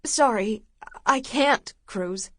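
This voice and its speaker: Woman narrator as a panicky young woman in an audiobook: pleading, breathy, fairly high, dry and close. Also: drawn-out words, no room sound.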